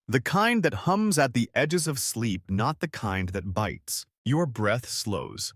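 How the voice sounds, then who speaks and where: A man reads a scripted bedtime tale in a hushed, poetic way. His pitch moves widely from phrase to phrase, and each short line is set down deliberately. The recording is dry and close. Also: no room sound. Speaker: bedtime storyteller. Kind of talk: scripted second-person bedtime narration.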